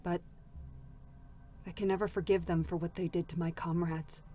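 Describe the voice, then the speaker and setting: An actress playing a bitter, vengeful combat android in an intense, defiant dramatic reading of a game character. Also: female voice, lowish voice, little pitch movement, moderate pace, warm tone, a little hiss or hum, natural voice. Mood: grim, wounded. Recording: music behind the voice. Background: music.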